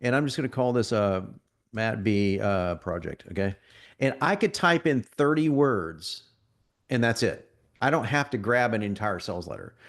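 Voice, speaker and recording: Sniffly man giving a casual software demo. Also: mid-range voice. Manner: conversational, salesy, frequent restarts and stumbles. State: getting over a cold, sniffly. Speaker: marketing workshop presenter. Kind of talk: live software demo to an audience.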